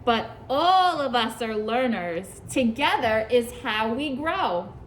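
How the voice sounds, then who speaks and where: A higher-pitched woman reading a storybook to a class of young children in a classroom, with a faint hiss under her voice. She stops often to ask questions and answer the kids. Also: sing-song, slow pace, rough recording. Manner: asks the children questions and praises their answers. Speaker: preschool teacher. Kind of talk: reading a picture book aloud to a class.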